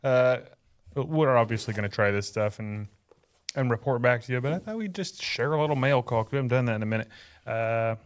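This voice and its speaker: Easygoing Texan podcast co-host. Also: male voice, mid-range voice, fairly quick. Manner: easygoing, joking, unboxing mail. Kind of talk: casual chat.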